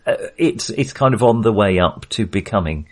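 A middle-aged man co-hosting a film podcast, talking casually in a measured, hedging, wry way. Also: fairly low pitch, lively intonation.

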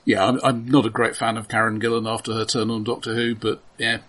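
Husky-voiced man, halting and casual. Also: on the low side, little pitch movement, fairly bright tone. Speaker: podcast co-host. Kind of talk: casual film chat, running down a list.

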